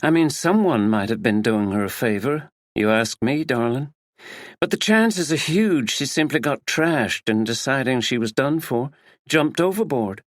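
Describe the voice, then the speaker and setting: A man's voice: mid-range voice, lively intonation, measured pace, no room sound, clean studio sound, voice pushed up, close to an everyday voice. A male narrator voicing a self-absorbed, perky American actress with a drawl, breezy and dismissive.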